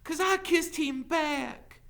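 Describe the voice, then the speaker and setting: Man voicing a high-pitched frantic young schoolteacher woman. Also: slow pace, gravelly, bright, forceful tone, heavily acted. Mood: indignant. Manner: urgent, breathless pleading.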